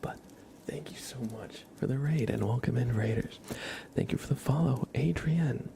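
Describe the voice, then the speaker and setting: A man hosting an ASMR livestream in a soft, hushed whisper and low murmur, gently and unhurriedly talking to his viewers. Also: moderate pace, creaky, a crowd in the background. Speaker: livestream host.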